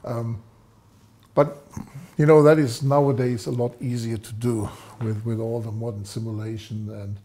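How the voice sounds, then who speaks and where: A man in his sixties explaining technical matters in an interview, speaking in a measured, deliberate way. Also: mid-range voice, level tone, mellow. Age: 60s. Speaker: guest, loudspeaker designer. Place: studio set, seated indoors.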